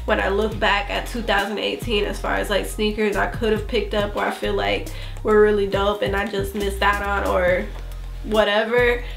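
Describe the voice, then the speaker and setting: A woman's voice: mid-range voice, little pitch movement, moderate pace, creaky. A woman with a congested, nasal voice from bronchitis, chatting casually as a sneaker vlogger with many fillers. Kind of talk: casual vlog review. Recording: echoey room with faint music.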